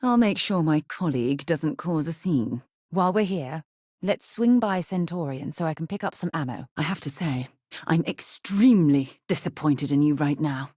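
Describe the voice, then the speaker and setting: A woman's voice: low voice, animated, normal speed, dark tone, dry recording, clean studio sound. A woman with a British accent playing a composed, principled space explorer in a video game, speaking formally and earnestly with careful articulation. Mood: poised, wry. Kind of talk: video game voice acting, dramatic dialogue.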